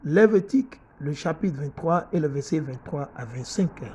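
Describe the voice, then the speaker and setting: A man's voice: on the high side, lively intonation, normal speed, a little mellow, slightly rough. A middle-aged man preaching and teaching from the Bible in an emphatic way, often repeating himself and asking his listeners if they follow.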